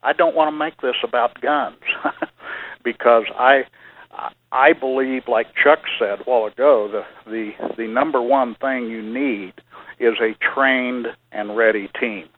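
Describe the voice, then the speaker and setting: A man joins a radio talk show by phone, speaking in a measured way with many fillers. His voice sounds thin and phone-filtered. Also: medium pitch, fairly even intonation, a little hiss or hum. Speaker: church security guest. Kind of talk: radio interview. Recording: phone line.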